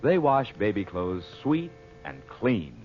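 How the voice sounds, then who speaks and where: A man's voice: lowish voice, very animated, slow. Man, 1940s radio announcer, very varied intonation, sponsor read, muffled vintage sound, music underneath. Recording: muffled old radio recording.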